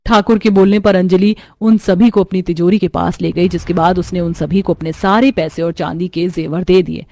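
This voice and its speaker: A woman's voice: on the low side, steady tone, normal speed, dark tone. A woman narrating a scripted horror story as its storyteller. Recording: music under the voice.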